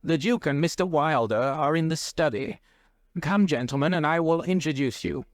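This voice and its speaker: A flustered, deferential schoolmaster played by a male narrator in a classic story. He sounds browbeaten and agitated, with his pitch pushed up and very little room around the voice. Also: lively intonation, normal speed, no room sound, clean studio sound, natural voice. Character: agitated, browbeaten older schoolmaster doctor, indecisive.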